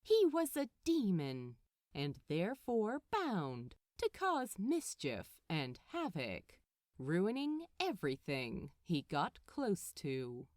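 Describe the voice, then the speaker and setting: Highly expressive woman storyteller, unhurried pace. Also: no echo, clean studio sound. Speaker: podfic narrator. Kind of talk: expressive scripted story narration.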